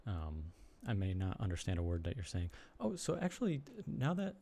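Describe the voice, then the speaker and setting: Low gravelly man with a Chicago accent. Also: wildly swooping pitch, mellow. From Chicago area, United States. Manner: rambling, halting, lots of ums and restarts. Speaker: streamer and blogger. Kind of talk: casual live-stream chat with viewers.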